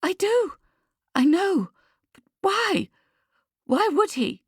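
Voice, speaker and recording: A woman voicing an anxious, flustered artist mother in an audiobook, speaking in a hushed, halting, breathy way. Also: on the low side, lively intonation, no echo, clean studio sound, voice pushed up, full character voice. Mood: disbelieving.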